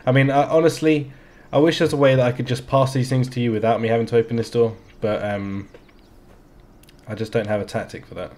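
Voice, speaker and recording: A man's voice: mid-range voice, steady tone, darkish tone. A man in his thirties from England with a London accent, joking easily with an opponent over game voice chat. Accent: London English. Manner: laid-back, joking, drawn-out words. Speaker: streamer. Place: small home streaming room. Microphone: headset.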